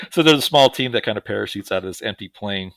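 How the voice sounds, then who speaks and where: Podcast co-host, male, low voice, sarcastic joker. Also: expressive, quick pace, creaky, fairly bright tone, dry recording. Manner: jokey, sarcastic, chuckling often. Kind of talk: casual movie recap chat.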